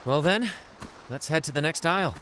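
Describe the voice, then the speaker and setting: A man's voice: on the high side, expressive, normal speed, fairly bright tone, slight background noise. A man playing a flamboyant, cocky pirate rogue in a video game, with a playful, mocking, grandiose manner. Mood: playful, excited. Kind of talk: video game character acting.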